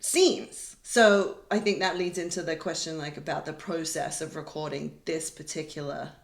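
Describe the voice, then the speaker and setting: A woman's voice: low voice, fairly even intonation, normal speed, gravelly. A woman hosting a panel in a casual interview style. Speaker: panel host. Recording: echoey room.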